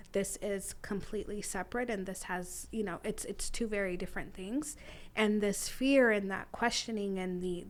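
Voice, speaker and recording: A young woman whose first language is Arabic, a podcast guest telling her story in a thoughtful, measured way with many fillers. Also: medium pitch. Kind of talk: conversational interview.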